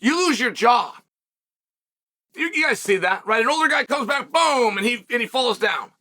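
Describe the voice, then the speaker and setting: A man with a high, bright voice and a cutting edge to his tone. He hosts a show and talks through fights casually, brash and emphatic, hammering home each point by repeating it. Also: expressive, moderate pace. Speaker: podcast host and MMA commentator.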